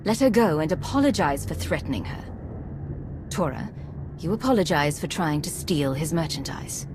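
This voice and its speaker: Calm firm lady commander in a game cutscene, lowish voice, engine noise behind. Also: normal speed. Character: composed female secret agent commander, firm, diplomatic. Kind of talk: video game cutscene acting.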